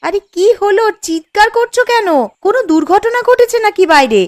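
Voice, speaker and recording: A woman's voice: very high-pitched, expressive, normal speed. A woman voicing a worried young village wife in a cartoon, anxious and fretting. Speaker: cartoon character.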